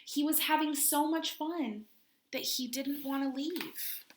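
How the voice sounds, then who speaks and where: A woman reading a children's picture book aloud expressively, with dramatic pauses, chatty asides and questions for her young listeners. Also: sits high, steady tone, a little hiss or hum. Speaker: children's read-aloud host.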